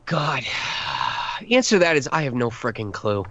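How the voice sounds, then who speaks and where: Animated, rambling voice actor guy. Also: mid-range voice, measured pace, no echo, dull audio. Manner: rambling, self-deprecating, animated explanations. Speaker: voice actor guest. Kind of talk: casual podcast chat.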